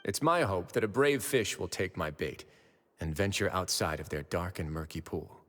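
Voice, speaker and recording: An actor playing a composed, shrewd duke in a video game, speaking in a measured, formal and polite way. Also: male voice, low voice, expressive, a bit bright, big-room sound. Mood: calm, measured. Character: composed, courteous duke and shrewd investigator, adult man. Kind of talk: scripted video game voice acting.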